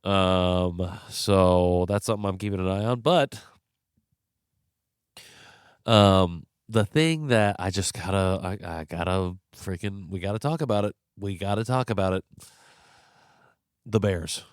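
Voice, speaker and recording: A young man talks into a close podcast mic, congested and a little worn out. His voice sits low and smooth, and the room sounds dry. He rambles frankly from one thought to the next. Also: measured pace, long pauses, no room sound. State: stuffed up, congested. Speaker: podcast host. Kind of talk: casual solo commentary.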